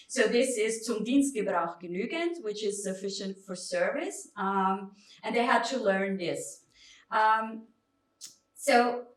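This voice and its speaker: Woman historian giving a talk, stop-start and emphatic, roomy echo, mic kinda far off. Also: medium pitch. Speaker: academic lecturer, historian. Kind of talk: public academic lecture, semi-spontaneous. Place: indoor lecture room. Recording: echoey room, distant microphone.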